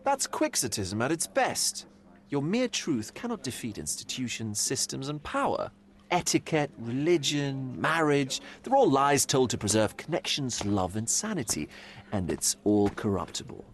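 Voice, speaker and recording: An actor playing a theatrical, mocking gentleman who preaches cynical philosophy in a video game, teasing and grand. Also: very animated, moderate pace. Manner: theatrical, sweeping pitch swings, teasing.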